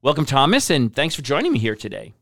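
A man's voice: medium pitch, wildly swooping pitch, fast talker, vocal fry, a bit bright, no room sound, clean studio sound. A man hosting an interview podcast, upbeat and engaged as he introduces and questions his guest. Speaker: podcast host. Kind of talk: hosted interview.

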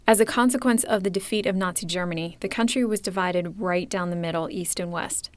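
Lady podcast host reading her script, measured and clear, dry close sound. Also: lowish voice, no room sound. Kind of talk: scripted documentary narration.

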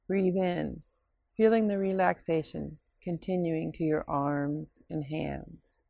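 A woman leading a scripted guided relaxation meditation in a soothing, unhurried, instructing way with pauses. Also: sits low, fairly even intonation, vocal fry, warm tone, no room sound, studio quality.

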